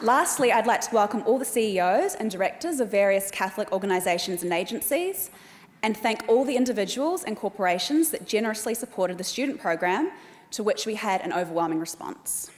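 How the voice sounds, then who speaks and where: A woman with a New Zealand accent giving a formal welcome speech as event host, speaking in a measured, deliberate way. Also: medium pitch, animated. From Australia. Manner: measured, reads names slowly and deliberately. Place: large hall at an evening event. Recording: long hall reverb.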